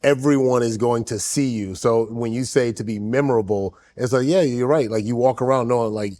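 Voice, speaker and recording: Fast-talking, agreeable male podcast host. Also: mid-range voice, dark tone. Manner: quick, agreeable, frequent "yeah" backchannels. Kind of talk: casual podcast interview chat.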